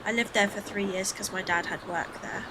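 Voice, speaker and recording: A woman's voice: mid-range voice, fairly even intonation, normal speed. A woman from the Philippines streams a game and rambles to her viewers, breaking off into laughing asides. She sounds loose and chatty, recorded at a home setup with a faint hiss underneath. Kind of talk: casual gameplay chat with viewers.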